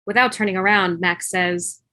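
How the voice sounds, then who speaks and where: Bright-voiced woman reading stage directions. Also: medium pitch, moderate pace, no echo. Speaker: stage-direction reader in a table read. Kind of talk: scripted narration. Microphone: video call. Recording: video call.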